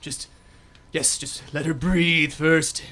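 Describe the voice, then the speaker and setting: A man's voice: on the high side, little pitch movement, slow, bright, forceful tone. A man in a student radio drama playing a prim, formal detective who narrates and keeps sounding exasperated.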